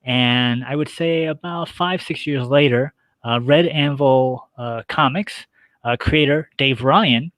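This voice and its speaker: A middle-aged man talking casually as an interview guest, explaining details in a halting, list-like way. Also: mid-range voice, a bit bright, no room sound, poor audio. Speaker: guest, radio show host.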